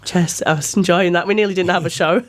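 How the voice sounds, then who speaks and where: Slightly croaky New Zealand woman hosting radio. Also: medium pitch. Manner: chatty, informal. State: getting over an illness. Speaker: radio show host. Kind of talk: casual chatty live radio interview. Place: radio studio. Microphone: studio microphone.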